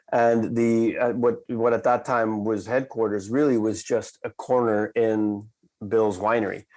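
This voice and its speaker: Low-voiced Dutch-raised man telling a story. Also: fairly even intonation, darkish tone. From Netherlands. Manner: measured, reflective, slows for emphasis. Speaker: company cofounder, guest. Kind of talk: conversational storytelling.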